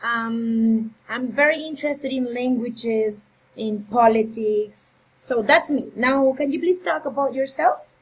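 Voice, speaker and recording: A woman's voice: on the high side, slow, hardly any pauses, slightly rough, poor audio. A woman in her thirties from Colombia, an English teacher giving an encouraging online lesson over a video call. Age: 30s. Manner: friendly, encouraging, switches between Spanish and English.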